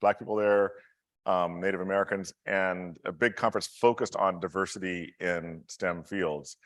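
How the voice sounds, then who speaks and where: A man's voice: low-pitched, steady tone, moderate pace, a bit bright, no room sound. A man in his fifties, speaking earnestly and reflectively in a casual conversation over a video call, often chuckling. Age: 50s. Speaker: conference speaker in a Q&A.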